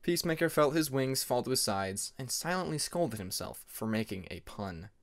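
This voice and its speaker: A man's voice: mid-range voice, very animated, normal speed. A man reading scripted story narration as an audiobook narrator.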